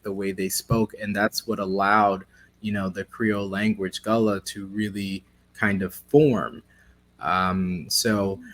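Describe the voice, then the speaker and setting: Hesitant young man as interview guest. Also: lowish voice. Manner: hesitant, many fillers. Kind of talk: casual interview.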